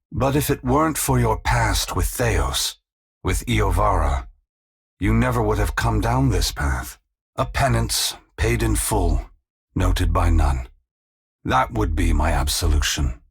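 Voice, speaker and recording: A man voicing an ancient, solemn and resolute god in a video game, speaking in a gravelly, husky, grave and measured oratorical way. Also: lowish voice, full character voice. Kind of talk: scripted dramatic game dialogue.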